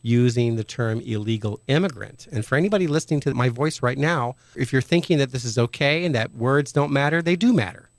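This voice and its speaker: A man's voice: medium pitch, fairly quick, few pauses, no room sound. A man hosting a radio talk show, interviewing a guest in an earnest, opinionated way. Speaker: radio host.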